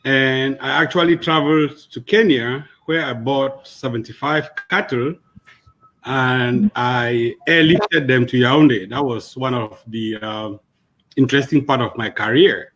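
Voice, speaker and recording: Man, steady, hesitant, mid pitch. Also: unhurried, poor audio. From Cameroon. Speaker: veterinarian, panelist. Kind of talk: self-introduction on a panel.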